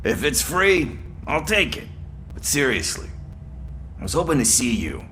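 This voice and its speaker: A man's voice: medium pitch, very animated, a little slow, sharp tone, slight background noise. An actor playing an easygoing, cheeky male jailbird informant in a video game, casual in his talk. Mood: cheeky, sincere.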